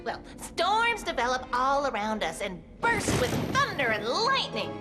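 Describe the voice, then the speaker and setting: A tiny, earnest cloud scientist in a children's cartoon. The voice is extremely high and very animated, under loud music. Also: female voice, a little slow, heavily acted. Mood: apologetic, explaining. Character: earnest, alarmed electric cloud-observatory scientist creature. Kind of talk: cartoon voice acting.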